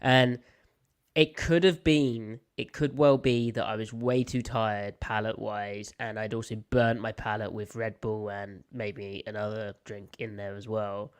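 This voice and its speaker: Young British male podcast host, smooth-voiced, a bit snuffly, playful and opinionated. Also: fairly low pitch, steady tone, unhurried. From United Kingdom. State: slight head cold, snuffly. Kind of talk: casual live podcast chat.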